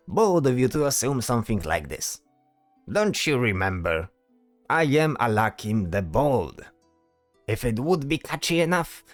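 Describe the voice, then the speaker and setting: A man's voice: medium pitch, no room sound, voice pushed up. A man voicing a bold, teasing bard in a fantasy audio drama, talking theatrically and tagging questions onto his sentences. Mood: boastful, breezy. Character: flamboyant male bard, teasing, bold, self-assured.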